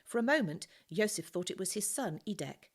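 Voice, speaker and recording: A woman teacher reading a children's novel aloud, calm and measured. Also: medium pitch, slightly rough. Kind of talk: storybook reading.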